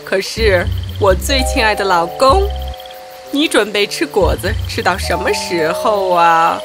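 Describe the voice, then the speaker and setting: A woman voicing a sly, demanding crocodile wife in a children's animated fairy tale, coaxing and insistent. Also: mid-range voice, a little slow, music in the background, close to an everyday voice. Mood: sweetly coaxing.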